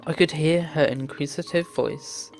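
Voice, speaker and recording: A man's voice: on the high side, steady tone, measured pace. A man reading visual novel text aloud in an earnest, theatrical way as a game playthrough host. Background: game music.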